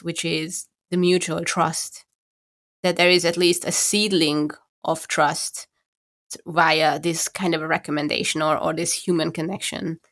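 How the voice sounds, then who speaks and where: Hungarian accent woman, deep and kinda gravelly, podcast from home, thinks out loud and restarts a lot. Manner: thoughtful, searching for words with restarts. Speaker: podcast co-host. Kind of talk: casual conversation.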